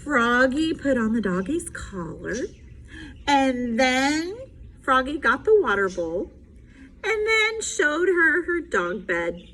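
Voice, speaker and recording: A woman's voice: high-pitched, sing-song, slow, a bit bright, rough recording. A woman teacher reading a picture book aloud to children, animatedly, stopping to explain things simply. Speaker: special education teacher. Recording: faint background noise.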